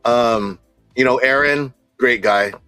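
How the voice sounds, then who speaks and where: A man's voice: mid-range voice, takes it slowly, pushed and bright, clear, resonant tone, no echo, faint music behind. A man hosting a live stream, chatting in a loose, friendly way with plenty of fillers, interviewing a guest and reading comments. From San Diego area.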